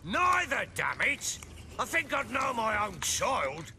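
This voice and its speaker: An actor playing a gruff, commanding middle-aged lord in a video game, with blustering, archaic rustic speech. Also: male voice, very high voice, sing-song, slow pace, sharp tone, a little hiss or hum, exaggerated voice. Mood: angry. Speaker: lord with men and hounds. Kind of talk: dramatic video game acting.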